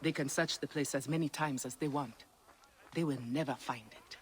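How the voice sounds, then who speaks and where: A woman playing a composed, measured noblewoman in a video game cutscene. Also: low voice, fairly even intonation, slight background noise, close to an everyday voice. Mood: calm, reassuring. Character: composed noblewoman, wife of a hunted rebel.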